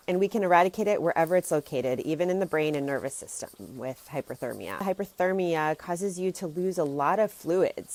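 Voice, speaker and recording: Low-pitched woman explaining health topics. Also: a little mellow. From Germany and the United States. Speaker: health coach and presenter. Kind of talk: informal live educational video talk. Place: outdoors, sitting outside.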